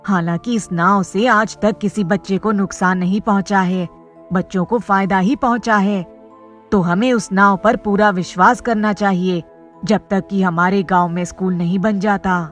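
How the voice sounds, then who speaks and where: An earnest villager from a cartoon moral tale, played by a woman. The voice is medium in pitch and deliberate, with music underneath. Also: fairly even intonation, natural voice.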